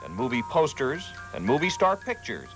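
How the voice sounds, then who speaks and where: A man's voice: mid-range voice, huge pitch swings, moderate pace, music in the background, poor audio. An American man working as a television reporter, reading scripted feature narration. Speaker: TV news reporter. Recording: faint background noise, 1980s TV broadcast.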